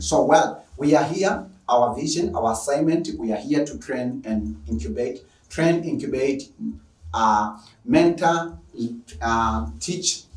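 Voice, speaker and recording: A man's voice: medium pitch, reverberant, recorded from a distance, low-quality recording. A Tanzanian-accented man opening an online lecture, enthusiastic and repetitive. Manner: enthusiastic, repetitive, long-winded. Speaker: online teacher. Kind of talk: lecture introduction.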